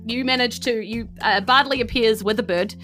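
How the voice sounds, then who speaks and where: A woman's voice: on the high side, normal speed, pushed and bright, faint music behind. A woman with a New Zealand accent, improvising narration as game master of a tabletop game in an informal, conversational way.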